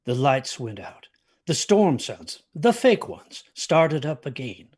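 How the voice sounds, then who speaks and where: A man's voice: a little high, big pitch swings, slow, darkish tone, a little hiss or hum. An actor playing a protective, haunted father who tells his children a ghost story in a hesitant, earnest way, in an audio drama. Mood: regretful. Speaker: storyteller narrator.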